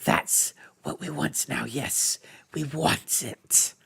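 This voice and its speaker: A man's voice: fairly high pitch, takes it slowly, gravelly, bright, forceful tone, slightly rough, no echo, full character voice. A man voicing a wretched, sly, greedy male cave creature that hisses and whispers to itself. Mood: whispering. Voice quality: hissing, whispery.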